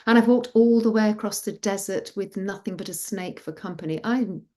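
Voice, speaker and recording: A woman's voice: medium pitch, moderate pace, darkish tone, lightly acted. A woman voicing a sweet, eager young goddess daughter in oral myth storytelling, with a coaxing, hesitant, girlish manner and sly determination. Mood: politely sly.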